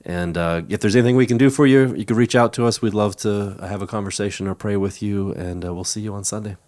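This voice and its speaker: A man's voice: on the low side, fast talker, a little mellow. A man with an American accent hosting a church podcast, chatting casually with an encouraging, affirming manner. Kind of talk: casual conversational interview.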